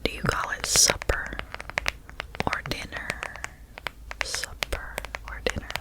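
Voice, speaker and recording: A young woman with a Southern US accent, softly whispering repeated trigger words in an ASMR video. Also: low-quality recording. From Southern United States. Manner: slow, repetitive trigger words with nail tapping. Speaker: ASMR creator.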